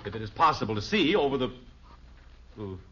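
A man's voice: mid-range voice, very animated, fairly quick, lightly acted. A muffled, noisy old radio recording carries a man acting as a middle-aged American sitcom father. He is well-meaning but flustered, hesitating and then reaching for wordy, mock-formal phrases.

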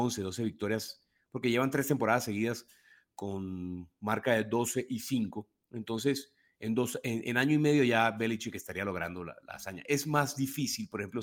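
A man with a Colombian Spanish accent co-hosting a sports podcast, talking animatedly and restarting his sentences often. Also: medium pitch. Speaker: podcast co-host. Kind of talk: casual sports talk.

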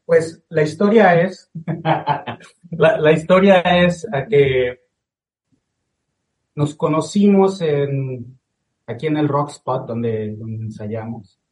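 A man's voice: a little high, animated, slow, long pauses, a little mellow, poor audio. A man, a band drummer, telling a story in an easygoing way with fillers on a video call. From Venezuela. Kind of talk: casual video-call interview.

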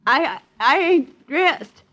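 An actress playing a timid, scheming wife who stammers and repeats herself in uneducated speech, in a 1950s radio drama. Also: female voice, high voice, lively intonation, slow, bright, forceful tone, full character voice. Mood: alarmed. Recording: dull, muffled vintage radio recording.

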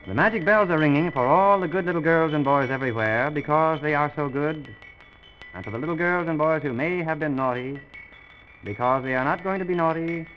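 A man hosting an old-time children's radio show, speaking gently and simply to small children as he tells a make-believe story. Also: on the high side, a little fast. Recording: dull old radio recording with music.

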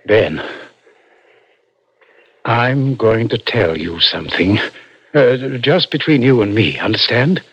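Crafty detective in a vintage radio crime play: mid-pitched, insinuating, coaxing, on a lo-fi broadcast recording. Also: male voice, animated, a bit bright, slightly rough, no room sound, heavily acted. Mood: confiding, coaxing. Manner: deliberate, halting, insinuating, leading questions. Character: crafty male police interrogator, coaxing and falsely confiding. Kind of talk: 1960s radio drama acting.

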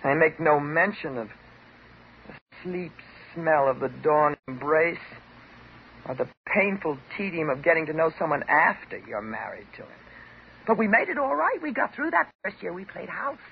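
An actress playing a bitter, wounded divorced housewife, sarcastic and dramatic, in the style of 1950s radio drama. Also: female voice, low voice, big pitch swings, normal speed, gravelly, full character voice. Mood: reproachful. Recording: muffled, noisy 1950s radio recording.

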